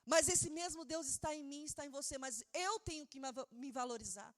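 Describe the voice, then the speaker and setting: Woman pastor, non-native speaker, high voice, fervent sermon delivery with loud peaks. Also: fairly quick. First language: not Portuguese. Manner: impassioned preaching, building to shouted emphasis; repeats words, stumbles and restarts while preaching.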